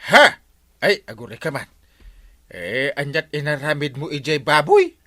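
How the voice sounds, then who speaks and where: A man with an Ilocano accent playing an excitable, exasperated village captain in a radio comedy drama, stammering and exclaiming. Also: fairly high pitch, unhurried, exaggerated voice. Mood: flustered surprise.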